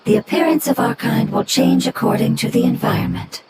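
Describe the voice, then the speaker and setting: An actor voicing an ancient, calm and caring fungus-like nature creature that speaks in a breathy, slow whisper. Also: high-pitched, lightly acted. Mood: serene. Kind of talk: video game voice acting. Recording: game audio over loud music.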